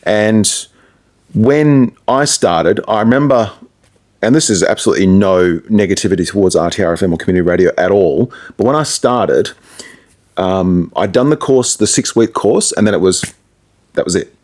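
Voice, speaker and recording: A man's voice: fairly low pitch, moderate pace, a little mellow. A man talking casually in an interview as a radio presenter, explaining things through anecdotes and asides.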